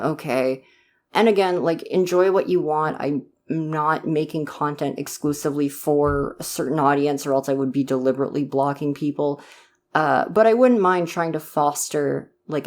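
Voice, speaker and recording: A young man giving a casual, informal update in a hesitant, self-reflective way, often saying "like" and trailing off. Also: a little high. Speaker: ASMR voice actor and video creator.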